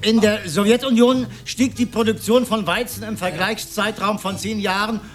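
An actor playing an awkward, pedantic older working man with a halting, formal way of talking. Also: high voice, steady tone, close to an everyday voice. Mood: pedantic, reluctant. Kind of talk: radio drama acting. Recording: roomy radio drama, music and noise behind.

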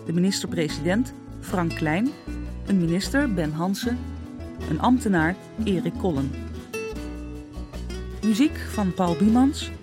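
A woman's voice: lowish voice, expressive, unhurried, pauses often. A woman announcer reading scripted radio drama credits over plucked guitar music. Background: prominent plucked guitar music.

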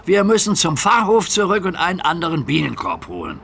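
A man's voice: a little high, wildly swooping pitch, a little fast, vocal fry, fairly bright tone, slight background noise, voice pushed up, exaggerated voice. A man voicing a practical, no-nonsense train driver in a children's audio story. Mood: resigned, firm.

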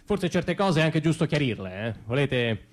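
A man acting a defensive, evasive ordinary father in a halting, repetitive theatrical monologue. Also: fairly high pitch, very animated. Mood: nervous, reluctant candour. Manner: hesitant, halting, repeating himself. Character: defensive ordinary middle-class father, evasive about his past. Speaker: stage actor performing a monologue. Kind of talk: theatrical comic monologue, as if answering questions.

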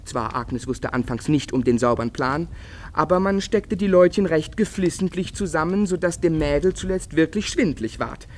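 Passionate, bitter young lover, acted by a man, higher-voiced. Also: normal speed, a little hiss or hum, close to an everyday voice. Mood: sarcastic, wounded. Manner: declamatory, agitated, emotionally volatile, old-fashioned literary diction. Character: passionate, brooding young male painter in love, wounded and bitter. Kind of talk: theatrical literary radio drama acting.

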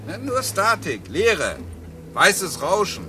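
A man's voice: high-pitched, wildly swooping pitch, slow pace, exaggerated voice. An actor in a radio play playing a wry, chatty crewman with an exasperated streak. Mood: wry exasperation. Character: wry, chatty crewman grumbling about his mother. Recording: noisy, vehicle sounds behind.

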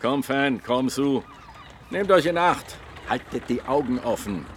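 A man's voice: mid-range voice, lively intonation, measured pace, a little hiss or hum, close to an everyday voice. An actor playing a bustling father of daughters in a Regency radio play, urging and commanding. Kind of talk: period radio drama acting.

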